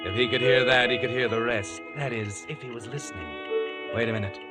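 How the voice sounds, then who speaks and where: An actor playing a brooding, insistent mystery narrator in 1940s radio drama style. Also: male voice, mid-range voice, fairly even intonation, a little fast, close to an everyday voice. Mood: needling, insistent. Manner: brooding, insistent, addresses the character directly. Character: ominous, needling male mystery narrator. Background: dramatic music.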